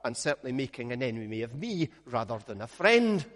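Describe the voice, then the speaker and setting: A Scottish man, a minister, leads a prayer and then preaches, telling stories with a measured pace and gentle humor. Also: medium pitch, sing-song, long reverb tail. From Scotland. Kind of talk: sermon with opening prayer.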